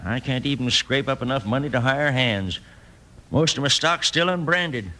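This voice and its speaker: An actor playing a worried, peaceable old rancher in a radio Western, with a plaintive, folksy Western American drawl. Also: male voice, medium pitch, moderate pace, sharp tone, lightly acted. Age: older. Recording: noisy vintage radio recording.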